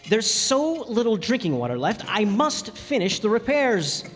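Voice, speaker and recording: A man's voice: high voice, big pitch swings, a little slow, slight background noise. A man playing a stranded pilot who narrates and talks in a radio drama, reflective but easily flustered. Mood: flustered, exasperated. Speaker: narrator and character. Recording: long hall reverb, music behind.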